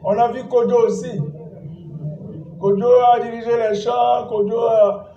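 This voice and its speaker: Pastor guy preaching slow, high voice, roomy church sound from far off. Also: flat delivery, vocal fry, dark tone. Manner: exhorting, repeats phrases, calls and responses with congregation. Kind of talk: sermon. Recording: echoey church hall, distant mic, dull sound.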